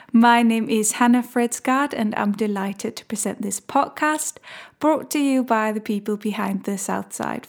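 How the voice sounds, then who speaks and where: Gravelly-voiced woman hosting a podcast. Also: medium pitch. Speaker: podcast host. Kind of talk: podcast interview.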